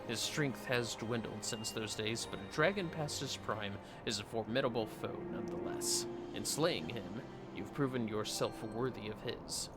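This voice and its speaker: A man's voice: fairly low pitch, normal speed, few pauses, over loud music, close to an everyday voice. A man voicing a solemn, aging retired knight, reading fantasy game dialogue in grave, formal, archaic phrasing.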